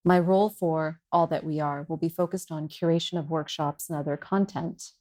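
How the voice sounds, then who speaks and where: A woman's voice: deep voice, steady tone, moderate pace, darkish tone. A woman from Iran whose first language is Farsi, introducing herself sincerely as a workshop curator on a podcast. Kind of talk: casual spoken introduction.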